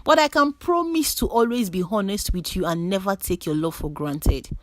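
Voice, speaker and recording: A woman voicing a gentle, sincere man courting someone in a dramatic story. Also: mid-range voice, moderate pace, no room sound, clean studio sound, lightly acted. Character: gentle, sincere, attentive male suitor.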